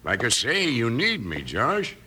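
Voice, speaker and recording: An actor playing a big, cocky gunslinging ex-convict, menacing, in an old-time radio western. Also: male voice, medium pitch, wildly swooping pitch, a little slow, pushed and bright, full character voice. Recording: faintly noisy vintage radio recording.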